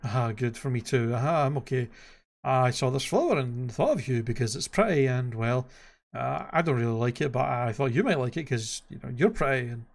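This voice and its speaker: A man acting as a gruff but shy male ogre from an animated fairy tale, speaking in a halting, bashful, defensive way. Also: medium pitch, natural voice. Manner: halting, bashful, self-correcting.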